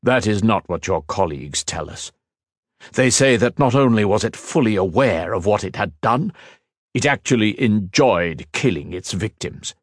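A man voicing a stern male council leader with a deep baritone, speaking in a firm, cutting tone. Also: animated, moderate pace, no echo, clean studio sound. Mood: cold.

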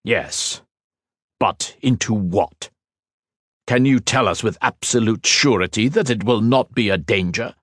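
Male reader as a deep baritone council judge, firm and cold, slow, dry. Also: no room sound. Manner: firm, cutting, measured.

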